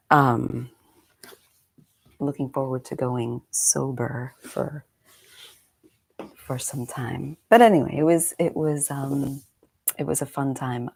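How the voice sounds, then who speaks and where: A woman's voice: rumbling low, a little mellow. A woman in her forties, a meditation coach, chatting casually on a live stream, slightly congested and rambling through friendly asides. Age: 40s.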